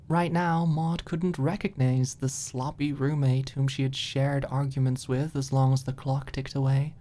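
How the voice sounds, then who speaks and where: A woman narrating a story as a scripted audiobook, in a soft, expressive storytelling manner. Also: sits low.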